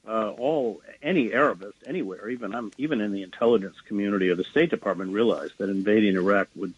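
Husky low-voiced man, earnest and halting. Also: dry recording, highs cut off. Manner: earnest, halting with many fillers. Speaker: guest, journalist. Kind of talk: political interview.

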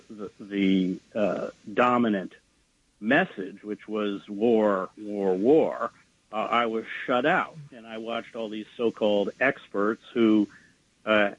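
A man with a husky, somewhat low voice speaks earnestly in an interview, often stopping and restarting. The sound is dry and a bit dull, like remote lo-fi audio. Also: no room sound. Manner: earnest, halting with many fillers. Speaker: guest, journalist. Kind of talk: political interview.

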